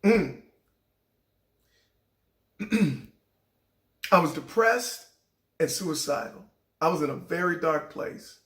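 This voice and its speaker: Man, middle-aged, raspy voice, cracks now and then, high-pitched, heartfelt storyteller, echoey room. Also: animated, takes it slowly, pauses often, fairly bright tone. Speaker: show host. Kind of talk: inspirational personal talk.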